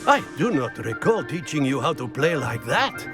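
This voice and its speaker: A man's voice: medium pitch, big pitch swings, normal speed, loud music behind the voice, close to an everyday voice. A man playing a proud, formal, wry teacher who gives praise sparingly, in a video game. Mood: wry, impressed. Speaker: game character.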